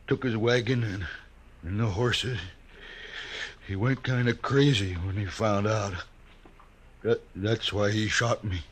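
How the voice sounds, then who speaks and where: An actor playing a wounded, frightened frontier hired hand, speaking weakly and haltingly in a 1950s radio drama. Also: male voice, lowish voice, fairly even intonation, slightly rough. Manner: weak, halting, panicky.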